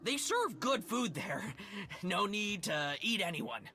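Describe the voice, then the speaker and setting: An actor playing a nervous pirate greeter who stammers and keeps laughing anxiously in a video game cutscene. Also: male voice, sits high, very animated, slow pace, sharp tone, soft background music, heavily acted. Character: nervous, jittery pirate greeter at a raider cove.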